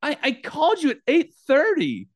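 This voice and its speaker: A man hosting a casual sports podcast, teasing his co-host in a lively, animated way. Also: moderate pace. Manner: teasing, animated, jumps high when mocking.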